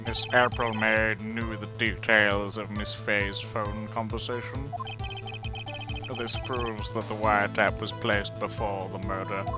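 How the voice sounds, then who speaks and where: Man playing a low-voiced, smug, haughty prosecutor over music. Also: little pitch movement, unhurried, close to an everyday voice. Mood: firm, formal. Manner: formal, condescending, theatrical. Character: smug, haughty male prosecutor in a courtroom drama. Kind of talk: live amateur game dub. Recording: loud music under the voice.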